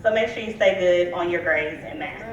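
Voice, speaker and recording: A frank, down-to-earth woman teacher talks straight with students, her voice sounding dull and lo-fi. She is picked up from far away in a boomy hall. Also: a little fast, a little hiss or hum. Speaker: high school math teacher. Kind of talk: speaking to students at a career panel. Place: school auditorium.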